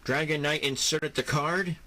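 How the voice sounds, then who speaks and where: Slow-paced male audio drama narrator. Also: fairly high pitch, steady tone, bright, forceful tone. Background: music.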